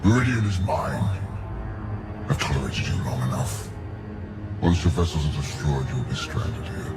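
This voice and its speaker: An imperious alien warlord from a video game, with an extremely deep, gravelly voice. He speaks deliberately and with cold, threatening contempt. Loud, scary music plays behind him. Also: very animated, heavily acted. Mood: cold menace. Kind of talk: video game villain acting.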